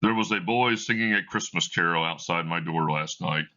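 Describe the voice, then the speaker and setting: A man's voice: low-pitched, normal speed, pushed and bright, muffled recording, pitched below the performer's own voice. A man reading aloud as a bitter old miser, grieving and full of regret, with a broken, mournful delivery. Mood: regretful. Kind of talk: classroom read-aloud of a play.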